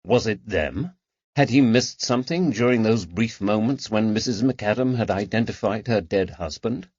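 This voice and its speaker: A man's voice: on the low side, moderate pace, darkish tone, breathy edge, no echo, lo-fi sound. A man reading a period mystery novel aloud as an audiobook narrator.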